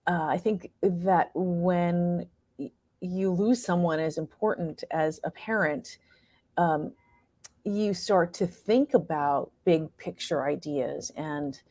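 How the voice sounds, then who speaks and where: A woman's voice: fairly low pitch, darkish tone, no echo, studio quality. A woman answering interview questions as a playwright and performer, conversational and reflective, often searching for words.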